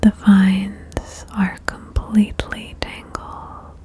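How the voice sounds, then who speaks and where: A woman whispering a guided ASMR meditation in a breathy, soothing way, with gentle instructions and long pauses. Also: medium pitch, monotone. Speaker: ASMR host guiding a relaxation game.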